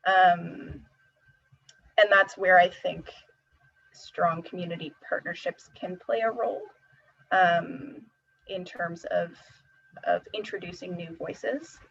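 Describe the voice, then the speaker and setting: Husky-voiced young woman, rambling. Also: lowish voice, steady tone, slow pace, pauses often, a bit bright, dull audio. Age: 20s. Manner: rambling, many fillers and laughs it off. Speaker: student researcher panelist. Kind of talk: casual Q&A answer.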